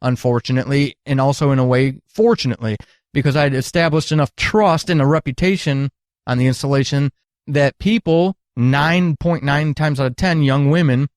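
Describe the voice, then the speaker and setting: A man's voice: medium pitch, normal speed, no room sound, clean studio sound. A middle-aged man telling candid, earnest stories at length as an interview guest, with emphatic phrasing. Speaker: podcast guest, retired military counselor.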